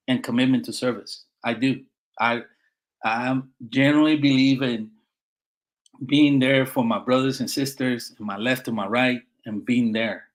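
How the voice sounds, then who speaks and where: A middle-aged man with a Puerto Rican accent, a Marine veteran guest speaking earnestly in a conversational interview, with frequent fillers and restarts. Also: medium pitch. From Puerto Rico.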